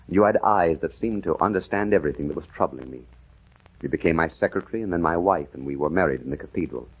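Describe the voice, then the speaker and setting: Deep, husky-voiced haunted gentleman. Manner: halting, earnest, brooding, with dramatic pauses. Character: haunted amnesiac gentleman and war veteran recalling his lost past. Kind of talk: old-time radio drama narration. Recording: muffled lo-fi vintage radio recording.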